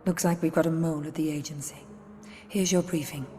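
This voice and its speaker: An actress playing a businesslike agency handler in a video game, measured and matter-of-fact. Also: female voice, low voice, fairly even intonation, warm tone, lightly acted. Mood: composed, businesslike. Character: businesslike female agency handler briefing an assassin. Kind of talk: video game cutscene acting. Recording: long reverb, faint noise.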